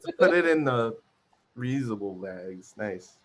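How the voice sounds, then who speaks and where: A man's voice: mid-range voice, sing-song, a little slow, a bit bright. Easygoing man co-host. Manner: easygoing, encouraging, short reactions. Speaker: podcast co-host. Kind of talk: casual podcast chat.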